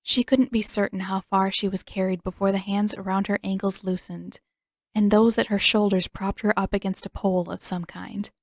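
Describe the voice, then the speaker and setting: A woman narrates a story in a scripted audiobook style. Her pitch is average for a woman, and the recording is dry and close. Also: level tone, normal speed, no room sound.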